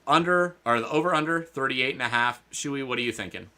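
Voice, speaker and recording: This is a man with a very bright, forward tone, co-hosting a sports betting chat. His talk is loose and rambling, full of fillers and restarts. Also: mid-range voice, fairly quick. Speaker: podcast co-host.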